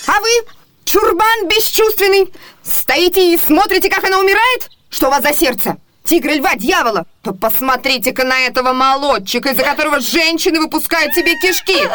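An actress playing a brash, sharp-tongued servant woman in a classic comedy radio play, scolding in a folksy, indignant way. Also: sits high.

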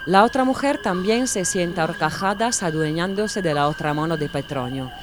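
A woman narrates an audiobook in a calm, measured way. Her voice sits somewhat low. Clear background noise runs under the reading.

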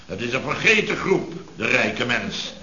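Een acteur die een mopperende, opschepperige en zielige rijke aannemersbaas speelt, pompeus en nadrukkelijk, in een oude radiokomedie. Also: male voice, medium pitch, big pitch swings, a little slow, pushed and bright, a little hiss or hum, full character voice. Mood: aggrieved, blustering. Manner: pompous, grumbling, emphatic, repeats himself. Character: blustery, self-pitying, boastful rich building contractor boss. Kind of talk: 1970s radio comedy acting. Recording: dull, roomy vintage radio recording.

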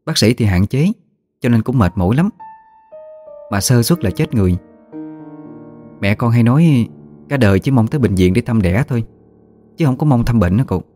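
A man's voice: mid-range voice, animated, moderate pace, pauses often, warm tone, pitched above the performer's own voice, close to an everyday voice. A man voicing an admiring, talkative young woman with a Southern Vietnamese accent, respectfully advising her elders in a story. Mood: earnest explaining. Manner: eager, chatty, respectful. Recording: music behind the voice.

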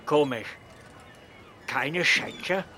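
An actor playing a gruff, officious but good-natured pirate harbour master in a children's radio play, teasing and colloquial. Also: male voice, on the high side, extremely slow, sharp tone, a little hiss or hum, heavily acted. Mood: officious, suspicious.

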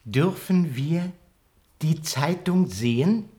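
Rational male traveller, expressive pitch, superior, insistent, explanatory, radio drama. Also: takes it slowly. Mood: explaining. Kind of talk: German radio play acting.

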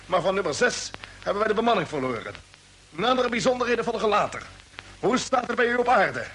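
An actor playing an earnest, troubled spaceship commander, grave and insistent, in an old Dutch radio drama. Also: male voice, high voice, big pitch swings, fairly quick, fairly bright tone. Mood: formal, relieved. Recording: noisy vintage radio drama recording.

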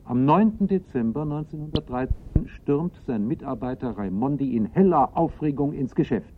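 An actor as the composed storyteller of a radio crime drama, reading scripted narration. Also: male voice, fairly high pitch, animated, normal speed, narrow, tinny audio, slight background noise, natural voice. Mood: composed, suspenseful.

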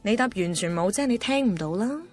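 A woman's voice: medium pitch, animated, fast talker, darkish tone, lightly acted. An actress playing a blunt, teasing, know-it-all female friend in a radio drama, emphatic, with a Hong Kong Cantonese accent. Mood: knowing, warning. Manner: emphatic, mixes in English words. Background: music.

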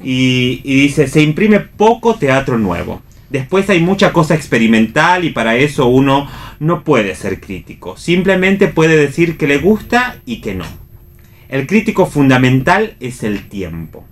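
A man's voice: medium pitch, very animated, a little slow. A man with an Argentine accent hosting an unscripted cultural discussion on radio, reflective and often repeating words as he searches for the next one. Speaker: radio theatre show host.

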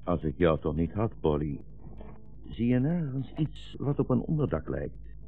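An actor playing a weary, bitter old blind rabbi fleeing war, solemn and brooding, in a 1960s Dutch radio drama. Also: male voice, low-pitched, sing-song, measured pace, faint music behind, full character voice. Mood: resigned, sombre. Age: older. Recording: dull, muffled 1960s radio recording.

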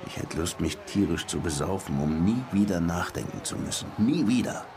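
An actor playing a brusque, world-weary, sardonic Havana police lieutenant in a radio crime play, terse and colloquial. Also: male voice, sits low, expressive, normal speed, background noise. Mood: frustrated, bitter. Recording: music behind the voice.

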